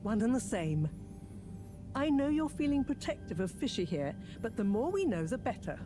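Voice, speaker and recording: A brisk, commanding professor. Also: female voice, a little high, noisy background. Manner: crisp, authoritative, lively intonation. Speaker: scientist. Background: game music.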